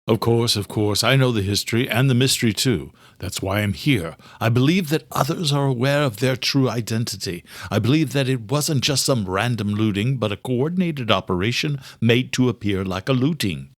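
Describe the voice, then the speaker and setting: Casting: male narrator as older male researcher, proud and obsessive, lively intonation, emphatic lecturing delivery, close dry sound. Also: mid-range voice, moderate pace, few pauses, no echo, natural voice. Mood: insistent. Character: obsessed, aging male scientist, proud and insistent. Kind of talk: audiobook character dialogue.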